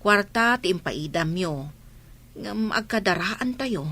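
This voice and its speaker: Woman, Ilocano Filipino accent, bright mid voice, frank scolding village wife, acted, radio drama. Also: mid-range voice, animated, takes it slowly, heavily acted. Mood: reproachful.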